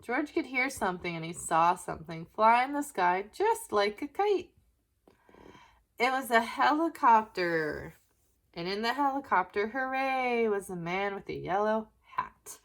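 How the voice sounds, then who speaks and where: A woman narrating a children's picture book in an expressive way, with question-asking asides to young listeners. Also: fairly high pitch, unhurried. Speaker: children's story reader.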